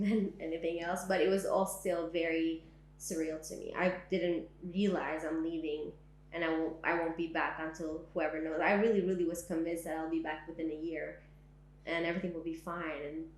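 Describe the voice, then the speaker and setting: A young woman from Syria recounts her experiences in an oral history interview. She speaks reflectively, her voice a little low, with plenty of "like"s and "um"s. The recording has an echoey room sound. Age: young adult. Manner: reflective, frequent "like" and "um". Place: indoors, living room.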